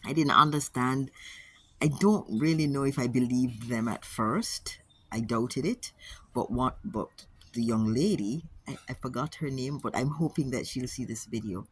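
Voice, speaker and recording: A woman with a Jamaican accent, speaking to camera as a wellness coach in a measured, earnest way, often repeating words for emphasis. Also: a bass voice, slightly rough, poor audio. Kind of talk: casual talk to camera.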